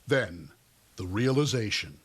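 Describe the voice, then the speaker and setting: Lively-pitched male news reporter. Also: a little slow, a little hiss or hum. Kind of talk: scripted news narration.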